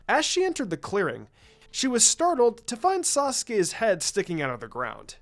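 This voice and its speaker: A man reading a story aloud as an expressive narrator of scripted fiction. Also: sits high, normal speed. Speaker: story reader.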